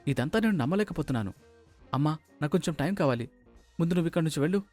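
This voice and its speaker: A man's voice: fairly high pitch, animated, rapid-fire, a little mellow, soft background music, lightly acted. A man voicing an earnest young son who is about to marry, in a story. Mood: bewildered.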